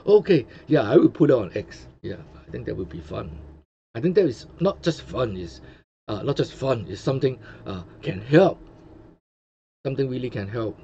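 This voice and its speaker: A man's voice: mid-range voice, expressive, normal speed, vocal fry, dark tone. A man from Hong Kong with a Hong Kong accent, a Cantonese speaker hosting his own channel in English, chatting casually with many fillers and restarts. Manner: rambling, many fillers and restarts, chuckles often. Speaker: channel host. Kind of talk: casual commentary livestream. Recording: dull lo-fi sound with faint noise.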